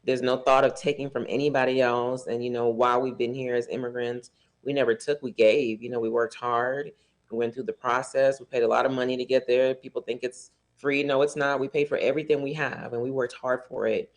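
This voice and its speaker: A man from Liberia talks as a guest in an interview, earnest and heartfelt, often pausing on fillers. His voice sits at an ordinary middle pitch for a man. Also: little pitch movement. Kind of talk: casual interview talk. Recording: talk show studio.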